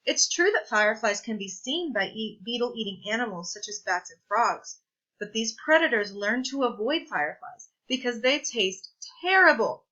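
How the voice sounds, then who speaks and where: Bright, high-voiced woman reading aloud. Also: lively intonation, moderate pace, dull audio. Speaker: read-aloud presenter. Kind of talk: reading a children's science picture book aloud.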